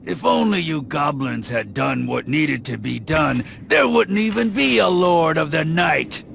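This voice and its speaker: Game role: brash scornful fighter, gravelly, forceful and animated delivery. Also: male voice, on the high side, a little slow, exaggerated voice. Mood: furious. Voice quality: gravelly, creaky. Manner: brash, slangy, blunt. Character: hot-tempered brash brawler man, impatient and scornful. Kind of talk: dramatic video game cutscene acting.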